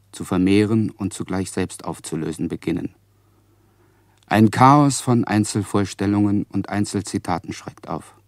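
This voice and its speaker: A man's voice: lowish voice, a little mellow, no room sound, studio quality, natural voice. An actor playing a brooding male narrator of inner anxiety in a literary radio play.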